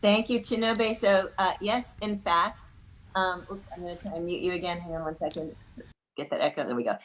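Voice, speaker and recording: A woman's voice: on the low side, brisk. A woman hosting an online singing session who thanks her guest and asks him questions, friendly and a little flustered. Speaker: host.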